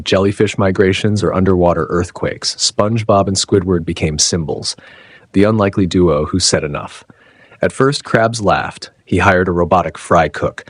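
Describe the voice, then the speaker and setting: Male narrator for audio drama: deep, slightly rough voice, scripted read, dry sound. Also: dry recording.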